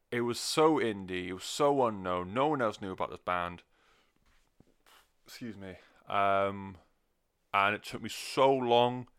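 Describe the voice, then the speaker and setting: A man's voice: lowish voice, bright, forceful tone, clear, resonant tone. A man talking casually and off the cuff as a music reviewer, rambling, sweary and self-deprecating. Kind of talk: casual unscripted review chat.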